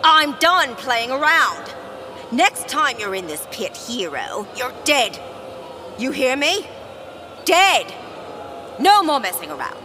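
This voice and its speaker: A woman's voice: high voice, big pitch swings, slow pace, long pauses, pushed and bright, slight background noise, heavily acted. A woman playing an imperious, short-tempered arena villain in a comedic audio drama, haughty and commanding. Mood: menacing.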